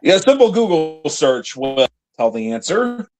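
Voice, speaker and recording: A man's voice: a little high, very animated, moderate pace, a little mellow. A man co-hosting a podcast, chatting casually in an easygoing way and adding bits of trivia. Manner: easygoing, laughs along, adds trivia. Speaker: podcast co-host. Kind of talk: casual chat about a TV series.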